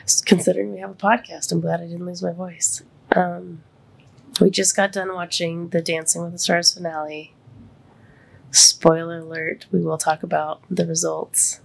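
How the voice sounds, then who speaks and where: A woman hosting a podcast in casual, heartfelt chat. She is congested and her voice sits deeper than usual, and she talks openly and vulnerably, close to tears. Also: flat delivery, normal speed, creaky, poor audio. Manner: open and vulnerable, near tears. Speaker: podcast host.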